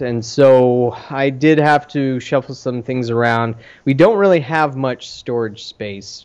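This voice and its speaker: A man hosting a podcast, chatting casually in a rambling, halting way about his own business. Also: medium pitch, clean tone, lo-fi sound. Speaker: podcast host and arcade owner.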